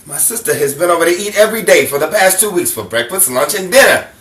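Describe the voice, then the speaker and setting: A man's voice: high voice, expressive, fast, a bit bright. A man acting an exasperated, teasing suburban husband in a 1950s-style radio sitcom, sarcastic and emphatic. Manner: sarcastic, emphatic, repeats words for effect. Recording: roomy, distant, noisy home recording.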